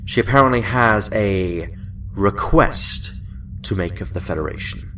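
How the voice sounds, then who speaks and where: An actor playing a genial senior admiral in a science fiction audio drama, measured and formal, sounding a little away from the mic. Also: male voice, on the low side, bright, forceful tone, distant mic, a little hiss or hum. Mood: formal, briefing. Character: genial, senior male admiral, chatty then businesslike.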